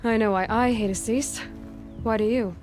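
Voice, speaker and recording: An actress playing an earnest, blunt young outcast huntress in a video game, with a direct, questioning manner. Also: female voice, fairly high pitch, steady tone, normal speed, a little mellow, close to an everyday voice. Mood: curious. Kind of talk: naturalistic video game acting. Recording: music behind.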